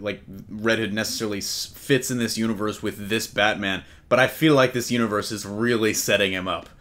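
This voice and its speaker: Male podcast host, lowish pitch, casual and jokey panel chat, unafraid to swear. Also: fairly bright tone. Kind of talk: casual comic-book movie panel chat.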